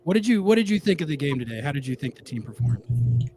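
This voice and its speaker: A man hosting a call-in show, talking to callers in a relaxed, conversational way. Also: medium pitch, fast, a little hiss or hum. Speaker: host.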